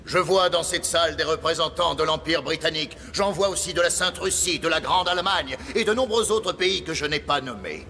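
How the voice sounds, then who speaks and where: A man's voice: on the high side, brisk, vocal fry, few pauses, pushed and bright, slight background noise. A man playing a zealous conspirator leader in a cartoon, delivering grandiose, declamatory political oratory. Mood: rousing. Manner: pompous, declamatory. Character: zealous male conspirator leader rallying world powers. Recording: music and crowd behind the voice.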